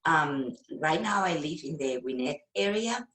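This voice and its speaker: A Venezuelan woman, a real estate agent whose first language is Spanish, talking casually in an interview with many fillers and pauses. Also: low-pitched, animated, fairly bright tone, roomy sound. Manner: hesitant, with frequent fillers and laughs.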